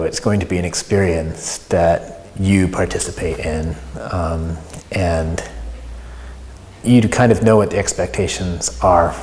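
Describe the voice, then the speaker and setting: A man giving casual interview answers in a halting way, as if thinking aloud, about his hobby as an amateur performer. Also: low voice, creaky, darkish tone, a little hiss or hum. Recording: long echoing hall reverb.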